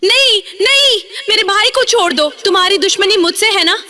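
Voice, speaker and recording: A woman's voice: very high-pitched, moderate pace, noisy background. A woman playing a desperate, distressed young bride pleading in an audio drama.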